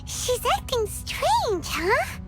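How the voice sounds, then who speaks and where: A tiny fairy companion from a game, with a very high, squeaky voice that swoops up and down. She is excitable and chatty and talks unhurriedly over loud game music. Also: drawn-out words, exaggerated voice. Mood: nervous. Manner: high squeaky voice, jumpy and dramatic.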